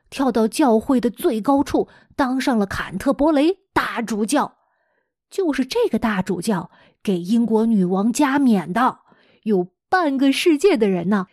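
A woman's voice: on the high side, darkish tone, no room sound, studio quality. A woman hosting a children's storytelling programme, reading scripted material expressively and talking directly to her listeners with dramatic emphasis. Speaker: host and teacher of a children's storytelling podcast.

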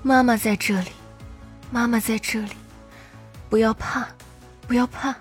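A woman's voice: fairly high pitch, steady tone, a little slow, soft background music, close to an everyday voice. An actress playing a loving, frightened mother who comforts her small children in a soothing, motherly way in an audio drama. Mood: tender, reassuring.

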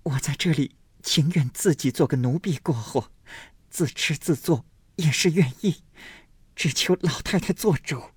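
A man voicing a frail young noblewoman who pleads through tears in a high voice. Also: measured pace, breathy edge, no room sound. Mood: weeping, pleading. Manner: high pleading voice, sobbing appeals.

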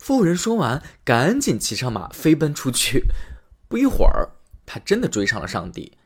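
Expressive husky male storyteller. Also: fairly high pitch, normal speed. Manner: lively, expressive reading. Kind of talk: storybook narration.

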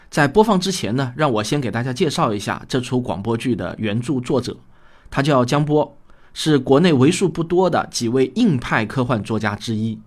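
Conversational male host, mid-pitched. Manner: conversational, addresses listeners directly. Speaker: host and audio drama director. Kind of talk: spoken programme introduction.

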